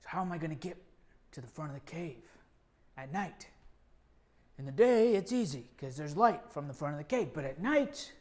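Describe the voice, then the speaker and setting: Man, improv host, playful, slightly high voice, repeats lines for laughs. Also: lively intonation, moderate pace, pauses often, a little mellow. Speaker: improv performer and show host. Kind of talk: improv comedy scenes.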